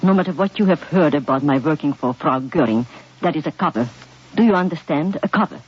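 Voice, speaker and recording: An actress in a 1950s radio drama playing a poised, persuasive baroness spy who talks in a coaxing, theatrical manner. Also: female voice, low voice, lively intonation, fairly quick. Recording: dull old radio recording with faint music.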